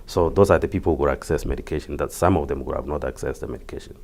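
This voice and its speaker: A man with a Kenyan accent explaining things as an interview guest, haltingly and repeating words. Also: very deep voice, vocal fry, a little mellow. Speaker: interview guest, community health project worker.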